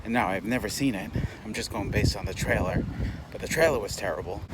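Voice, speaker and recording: A man's voice: on the low side, brisk. A man giving a casual, rambling, bluntly opinionated spoken movie review, as a vlogger talking while out and about. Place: outdoors on city streets, walking. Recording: clear outdoor street and traffic noise.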